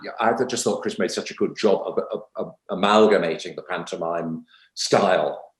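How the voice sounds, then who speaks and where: A man with a fairly low voice chats casually, looking back as a stage performer would. The sound around him is roomy and echoing. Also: moderate pace. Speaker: pantomime performer in conversation. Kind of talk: casual reminiscing chat.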